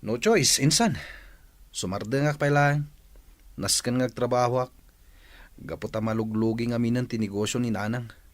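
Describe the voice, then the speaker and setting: A troubled young student acted by a man in a radio drama. He speaks at a middling pitch in an earnest, burdened way, recorded very dry. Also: moderate pace, long pauses, no room sound, lightly acted. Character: troubled young male student facing fatherhood. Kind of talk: Ilocano radio drama acting.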